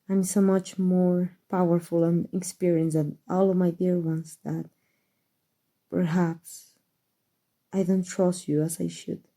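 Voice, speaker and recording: A woman playing a powerful, aristocratic vampire in an ASMR roleplay, with formal, measured, protective speech. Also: on the low side, gravelly, dark tone, heavily acted. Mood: protective, tender.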